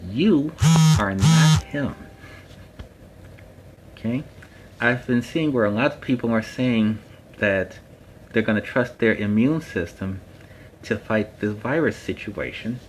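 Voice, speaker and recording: A man's voice: mid-range voice, slow pace, pauses often, a little hiss or hum, lightly acted, rough recording. A man playing a friendly superhero storytime host, talking casually and earnestly to viewers in a plainspoken, emphatic way. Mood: earnest, cautioning. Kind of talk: casual instructive talk to viewers.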